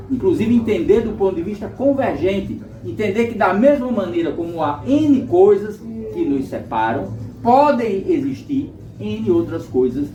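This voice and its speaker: A man, explaining his views at a panel in a measured way, full of rhetorical questions. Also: sits high, darkish tone. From Rio Grande do Norte, Brazil. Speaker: panel speaker. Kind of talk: lecture-like discussion. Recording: echoey room, distant mic, music behind.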